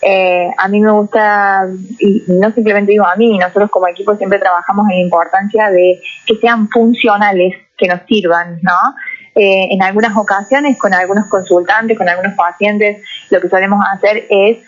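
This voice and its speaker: A woman psychologist, speaking as a guest in a radio interview, explaining in a measured way and often checking in with "¿no?". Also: medium pitch, steady tone. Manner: measured, explanatory, tags phrases with "¿no?". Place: radio studio. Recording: muffled lo-fi radio recording.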